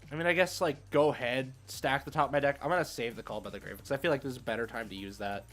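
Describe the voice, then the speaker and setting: Lower-pitched man streaming casual game commentary. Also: quick pace, a bit bright, soft background music. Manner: thinking aloud, informal gamer slang. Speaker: streamer hosting card game matches. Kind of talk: casual live gameplay commentary.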